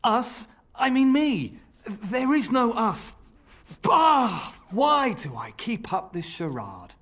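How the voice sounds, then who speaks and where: A man's voice: very high voice, very animated, slow, a bit bright, heavily acted. A man playing a deceitful, fanatical zealot who poses as a scholar's assistant in a dramatic video game. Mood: flustered, exasperated, then fervent, menacing.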